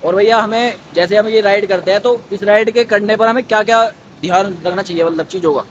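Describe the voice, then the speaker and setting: A young man in his twenties hosting an excited, chatty vlog from an outdoor mall walkway at night. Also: high-pitched, a little fast. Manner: excited, chatty, keeps saying bhai. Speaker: vlogger. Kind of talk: casual vlog commentary. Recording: dull noisy outdoor audio.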